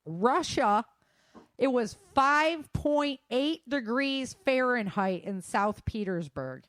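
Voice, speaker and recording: A woman's voice: on the high side, animated, slow, fairly bright tone, no echo. A woman hosting a show, running through news in an informal, slangy way with chatty asides. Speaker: host of a survival news show.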